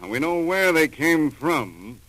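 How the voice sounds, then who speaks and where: Gruff american customs chief, old radio crime show, bright forceful voice, clipped and slangy. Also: male voice, fairly high pitch, very animated, measured pace, rough recording. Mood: businesslike, intent. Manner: clipped, slangy, businesslike delivery. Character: brisk, seasoned customs chief, gruff and dogged. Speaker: actor in a radio drama. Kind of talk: 1940s radio crime drama acting. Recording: old 1940s radio recording.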